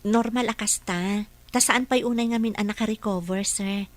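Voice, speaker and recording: A woman's voice: medium pitch, steady tone, normal speed, lightly acted. A woman playing a dutiful, deferential caregiver and mother in an audio drama, speaking respectfully and earnestly.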